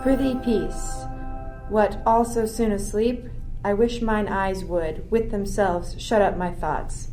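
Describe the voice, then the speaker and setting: A woman's voice: mid-range voice, steady tone, slow, close to an everyday voice, rough recording. A woman playing a grieving, drowsy, weary shipwrecked queen in a Shakespearean radio play. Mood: drowsy, sorrowful. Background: music.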